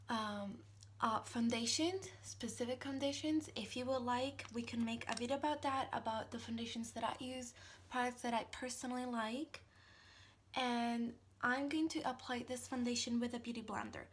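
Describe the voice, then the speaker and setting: An Italian-accented woman in her thirties walks through her makeup routine in a relaxed, chatty way, pausing on ums and restarting her sentences. Her voice sits a little high. A faint hiss of room noise runs under the recording. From Italy. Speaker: makeup tutorial host. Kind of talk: casual how-to narration.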